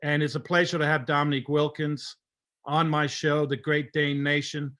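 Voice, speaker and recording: A sixty-year-old man from Denmark hosts a relaxed interview over a video call, talking through a headset at home. His voice sits fairly high for a man, and he is friendly and chatty, often repeating words as he goes. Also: normal speed. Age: 60s. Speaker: podcast host. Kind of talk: casual interview. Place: home room.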